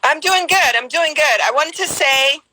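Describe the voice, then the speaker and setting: Earnest Guyanese woman, bright voice. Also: sits high, normal speed, low-quality recording. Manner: earnest, hesitant, many ums and restarts. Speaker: caller on a live stream. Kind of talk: casual personal testimony.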